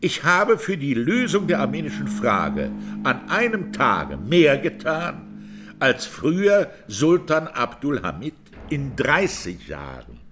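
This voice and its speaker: An actor playing a formal presiding judge who questions witnesses in a probing, emphatic way in a courtroom radio drama. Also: male voice, mid-range voice, wildly swooping pitch, slow pace, fairly bright tone, full character voice.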